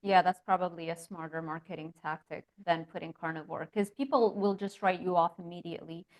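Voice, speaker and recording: A woman's voice: on the low side, fairly even intonation, fairly quick. A woman who grew up in Lebanon, hosting an interview and chatting in a friendly way that laughs easily. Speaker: podcast host. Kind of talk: casual interview conversation.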